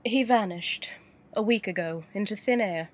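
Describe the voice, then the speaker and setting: An actress playing a wealthy businesswoman client in an audio drama, cool and measured. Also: mid-range voice, husky, close to an everyday voice. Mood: composed. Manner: cool, measured, dryly composed.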